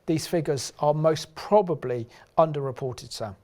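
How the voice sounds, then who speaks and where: A male talk-show host offers his guest brief, friendly prompts. His voice sits a little high. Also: moderate pace, darkish tone.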